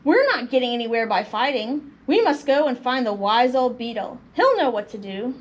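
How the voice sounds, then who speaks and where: A woman's voice: fairly high pitch, very animated, moderate pace, dull audio. A woman voicing indignant, bossy pond frogs from a children's storybook in an exclamatory, affronted manner. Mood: commanding. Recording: noticeable background noise.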